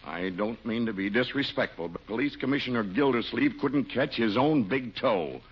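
An actor playing a gruff, sarcastic police chief with a huffy, emphatic delivery in a 1940s radio comedy. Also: male voice, medium pitch, animated, moderate pace. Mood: dry, businesslike. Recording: muffled, hissy 1940s radio recording.

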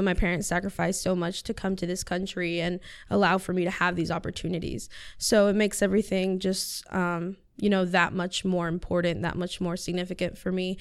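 A teenage girl whose first language is Spanish, talking about herself in an interview. Her voice comes across very clear and clean. She answers earnestly, circling back with fillers and restarts as she thinks. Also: on the low side. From United States. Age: teens. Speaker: high school student guest.

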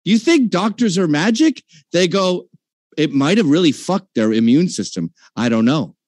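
A man's voice: on the high side, very animated, normal speed, a little mellow, dry recording, studio quality. A man arguing in a heated debate, combative and mocking, with frequent profanity.

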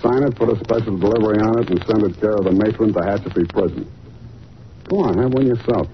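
An actor playing a cocky, hard-boiled private detective in 1940s radio style, with a brisk, bossy, wisecracking manner. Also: male voice, fairly low pitch, close to an everyday voice. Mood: cool, explaining. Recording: muffled, noisy old radio recording.

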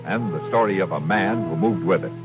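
A man's voice: low-pitched, fairly quick. An American man announcing a 1950s radio western in a dramatic, emphatic style. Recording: old radio recording, loud music behind.

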